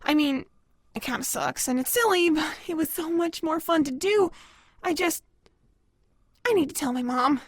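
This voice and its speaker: A woman's voice: high voice, moderate pace, long pauses, no echo, studio quality, lightly acted. A woman voicing a bookish pony who dreams of writing novels, in an audio drama. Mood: hesitant, confiding. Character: bookish filly pony, later a brash aspiring novelist. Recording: music behind the voice.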